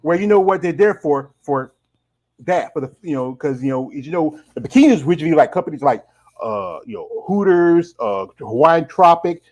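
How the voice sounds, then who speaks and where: A middle-aged man hosts a casual streamed interview, wandering from point to point and filling the gaps with "you know". His voice sits a little higher than most men's. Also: expressive, moderate pace, a little mellow. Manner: rambling, with many "you know" fillers. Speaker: host.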